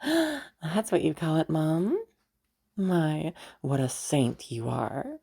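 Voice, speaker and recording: A woman's voice: sits low, lively intonation, takes it slowly, vocal fry, dark tone, husky, exaggerated voice. A woman playing a defiant grown daughter standing up to her mother, sarcastic and pointed, in an audio roleplay. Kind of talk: amateur audio roleplay acting.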